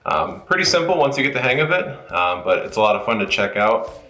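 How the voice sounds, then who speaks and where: A man's voice: medium pitch, very animated, fairly quick, pushed and bright. A man presenting an informal, unscripted app walkthrough, explaining each step as he goes in a roomy space. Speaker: product tutorial presenter.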